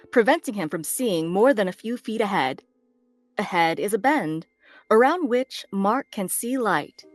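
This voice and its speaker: A woman's voice: mid-range voice, no room sound. A woman reading stage directions as scripted narration for a drama.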